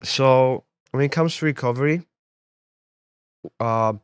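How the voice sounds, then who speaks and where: Casting: young man from Poland, guest therapist, smooth clear voice, dry close sound, easygoing talk. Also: medium pitch, slow, no echo. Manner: relaxed, repeats words while finding them. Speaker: guest, sports therapist and MMA coach. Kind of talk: casual interview talk.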